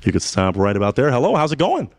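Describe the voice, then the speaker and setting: Young guy hosting a dating game show, lively bouncy voice, kinda teasing, handheld mic. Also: medium pitch, no room sound, clean studio sound. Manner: showy, teasing, drawn-out delivery.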